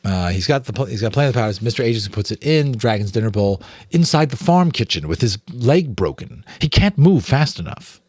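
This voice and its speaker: An American man narrates a novel in a dramatic read-aloud style, slipping into casual asides. His mid-range voice has lively intonation and sounds dry and close. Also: medium pitch, fairly quick, few pauses, slightly rough, dry recording. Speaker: audiobook narrator, amateur voice actor.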